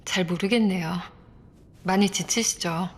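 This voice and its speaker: A woman's voice: fairly low pitch, unhurried, slight background noise, lightly acted. Woman playing a composed, formal police inspector. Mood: composed, official. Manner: formal, matter-of-fact. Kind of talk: audio drama acting. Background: background music.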